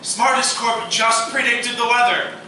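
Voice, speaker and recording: A man's voice: extremely high pitch, level tone, a little slow, sharp tone, exaggerated voice. A man playing an anxious, awkward college computer science student who stammers in a live radio theatre play. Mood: alarmed. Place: live stage performance in echoey room. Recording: very echoey hall, distant mic, noisy.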